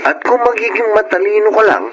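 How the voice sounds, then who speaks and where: A man's voice: sits high, a little fast, background noise, natural voice. Coaxing young Filipino buddy male actor. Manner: casual, coaxing. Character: sly, persuasive young male friend pitching easy money. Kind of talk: Filipino radio drama acting.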